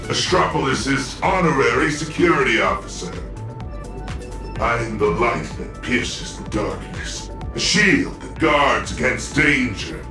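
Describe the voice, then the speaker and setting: A man's voice: medium pitch, echoey room, far from the mic. A man voicing a flamboyant, self-mocking corporate security officer in a video game, with a swaggering, glib, theatrical delivery. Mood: grandiose.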